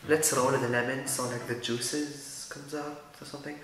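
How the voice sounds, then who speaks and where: A man's voice: medium pitch, flat delivery, moderate pace, very echoey, a crowd in the background. A teenage boy from Dubai with an Arabic accent, rambling goofily through a casual do-it-yourself vlog. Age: teens. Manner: goofy, rambling, self-mocking. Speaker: YouTuber. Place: small room, indoors. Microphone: camera mic at close range.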